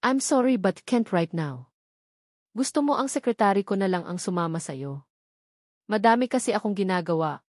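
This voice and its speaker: A woman's voice: mid-range voice, expressive, moderate pace, pauses often, dry recording, clean studio sound, close to an everyday voice. A woman voicing a busy, reserved male company boss with polite restraint in an audiobook. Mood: polite, reluctant.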